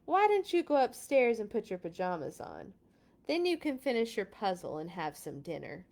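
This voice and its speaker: A woman voicing a kindly storybook mother who talks to her young children in a drawn-out singsong. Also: medium pitch, huge pitch swings, gravelly, a little mellow, full character voice. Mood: gentle, amused.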